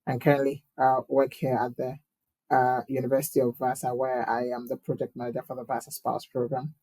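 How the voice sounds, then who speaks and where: A man from Ghana answering interview questions as an election candidate, talking in a measured way with frequent fillers and repeated words. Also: mid-range voice, monotone, dark tone, no room sound, low-quality recording. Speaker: guest, municipal election candidate.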